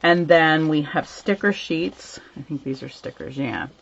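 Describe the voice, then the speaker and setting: A chatty woman hosts a live craft class at home, greeting viewers by name between plenty of ums. Her voice is gravelly and somewhat worn, since she says she is losing it, and the stream audio sounds dull and lo-fi. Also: sits low, a little slow. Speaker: host of a live stamping class. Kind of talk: casual live-stream chat and craft demo.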